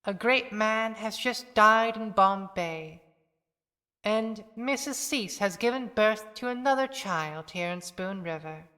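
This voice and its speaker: A solemn dead townsperson played by a woman speaks poetically from the grave. Her voice is bright and intense, very slow, and carried on a long cavernous reverb. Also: medium pitch. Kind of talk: theatrical poetic monologue.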